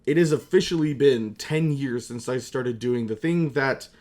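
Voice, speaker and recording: A man's voice: medium pitch, moderate pace, a little mellow. Rambling, self-mocking young man vlogging. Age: young adult. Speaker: YouTuber and amateur voice actor. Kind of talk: casual comedic vlog chat.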